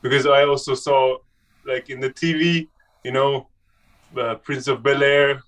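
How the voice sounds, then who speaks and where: A young man from Switzerland, a guest telling his story in a casual conversation, halting and restarting often. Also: mid-range voice, fairly even intonation, a bit bright, far from the mic. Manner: halting, with many restarts and fillers.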